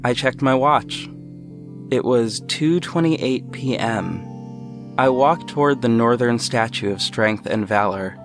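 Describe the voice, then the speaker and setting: A man playing a reflective, earnest city hero who narrates an audio drama in the first person, in a measured storytelling way. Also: medium pitch, music in the background.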